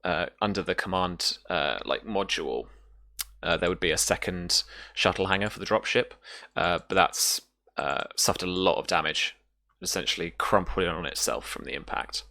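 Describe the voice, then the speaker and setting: A man's voice: deep voice, normal speed, gravelly, pushed and bright. A man casually narrating and explaining rules as a game master, feeling his way through explanations piece by piece.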